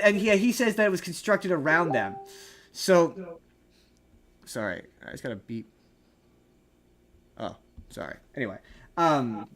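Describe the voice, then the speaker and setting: A man's voice: high-pitched, a bit bright. A man co-hosting a podcast, talking casually and opinionatedly about film in an emphatic, rambling, argumentative way. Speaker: podcast co-host.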